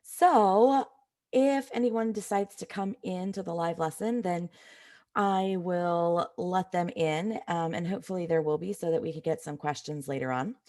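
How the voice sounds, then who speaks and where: A woman teaches in a low voice that is slightly rough from a cold. She explains things informally, as in a live online class, talking straight to her students. She puts clear emphasis on the points that matter. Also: a little slow, a little mellow. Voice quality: slightly raspy. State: has a cold. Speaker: math teacher.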